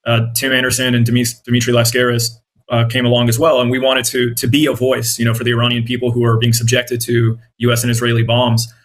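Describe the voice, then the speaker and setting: A man's voice: mid-range voice, completely flat delivery, fast talker. A man with an American accent answering interview questions conversationally, explaining things in an earnest way. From born in Iraq, grew up in the United States. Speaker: interview guest, independent journalist and content creator.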